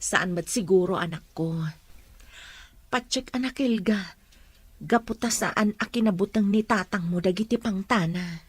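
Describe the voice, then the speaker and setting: A woman's voice: medium pitch, measured pace, breathy edge, dry recording. A woman playing a protective, reassuring mother in a radio drama. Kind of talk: Ilocano radio drama acting.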